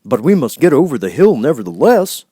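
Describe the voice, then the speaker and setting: A man's voice: medium pitch, very animated, fairly quick, dark tone, slightly rough, no echo, clean studio sound, voice pushed up. A man voicing a polite, determined living scarecrow from a fairy tale, speaking earnestly.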